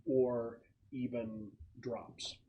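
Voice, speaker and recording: A man's voice: medium pitch, steady tone, very slow, warm tone, slight background noise, low-quality recording. A man acting as game master, explaining a scenario to players in a conversational way.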